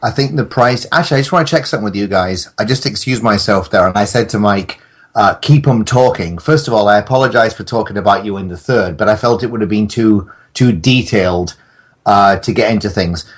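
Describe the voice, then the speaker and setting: A middle-aged man with a fairly low voice talks casually in a podcast conversation. He has a joking manner, dropping in mock-formal asides as he goes. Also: a little fast, breathy edge.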